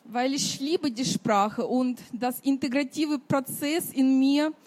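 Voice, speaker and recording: Eine Frau in ihren Fünfzigern aus der Ukraine mit russischem Akzent, Muttersprache Russisch, die zögernd und mit vielen Füllwörtern ihr Kinderbuch auf einer Buchmesse vorstellt. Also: female voice, sits high, steady tone, a little mellow, poor audio. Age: 50s. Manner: halting, many fillers, non-native grammar. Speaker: children's author. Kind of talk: book fair presentation.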